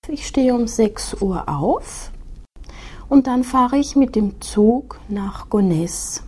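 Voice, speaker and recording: A woman, a teacher reading a short scripted self-introduction in a slow, measured, soft-spoken way. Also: fairly high pitch, animated, breathy edge. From Austria. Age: 30s. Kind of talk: scripted monologue for a listening exercise.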